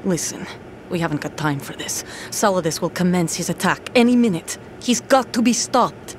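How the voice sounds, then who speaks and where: A woman's voice: lowish voice, lively intonation, normal speed, slight background noise, lightly acted. A woman voicing a tough female soldier working undercover, in a video game cutscene with music under it, speaking tersely and commandingly. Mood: urgent. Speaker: game character.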